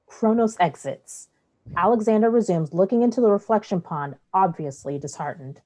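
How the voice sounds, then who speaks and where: A woman's voice: medium pitch, expressive, moderate pace, mellow. A woman narrating stage directions in a scripted play reading.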